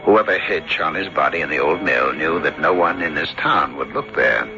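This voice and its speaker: An actor playing a grave, cautious small-town doctor in a radio mystery, dramatic and measured in narration and dialogue. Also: male voice, low-pitched. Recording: muffled old radio recording. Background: music.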